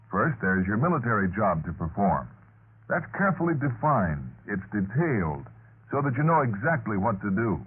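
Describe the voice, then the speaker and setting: An American man reading a scripted 1950s radio announcement in a formal, deliberate manner. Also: medium pitch, slightly rough. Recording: muffled, tinny 1950s radio broadcast.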